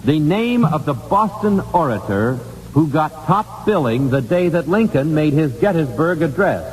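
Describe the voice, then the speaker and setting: A man's voice: a little high, expressive, a little slow, big-room sound, noisy background. An American man hosting a 1950s radio quiz show, putting questions to contestants on an old broadcast recording.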